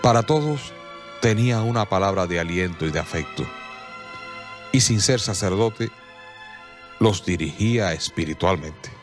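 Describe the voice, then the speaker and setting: Male narrator, low voice, measured and solemn, faint music. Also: animated. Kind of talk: scripted radio drama narration.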